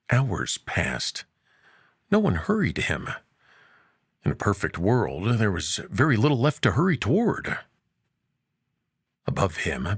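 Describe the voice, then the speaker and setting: A man hosting a story show and narrating a science fiction tale, expressive, dropping to hushed low lines and lifting for emphasis. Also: vocal fry, breathy edge, no echo, clean studio sound. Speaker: host and narrator.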